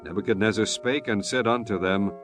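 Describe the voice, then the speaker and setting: A man narrating scripture from a script in a measured, solemn, formal manner. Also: fairly low pitch. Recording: music bed behind the voice.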